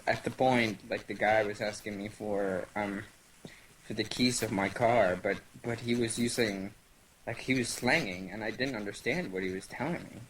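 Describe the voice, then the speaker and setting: Low-voiced man, native Spanish speaker. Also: slight background noise, rough recording. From Venezuela. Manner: halting, lots of fillers and restarts. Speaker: guest. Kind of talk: casual interview over voice chat.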